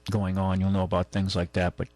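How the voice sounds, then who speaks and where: A man hosting a call-in radio show, talking casually and haltingly, rambling as he goes and reading out items. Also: low voice, monotone, a little mellow, no room sound. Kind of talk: casual talk radio, reading news and chatting. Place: radio studio. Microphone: close studio microphone.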